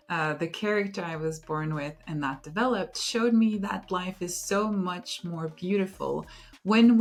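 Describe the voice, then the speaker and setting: A woman hosting her own podcast, introducing it in an earnest, unhurried way with thoughtful pauses. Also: on the low side. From Luxembourg. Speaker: podcast host. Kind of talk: reflective solo podcast intro.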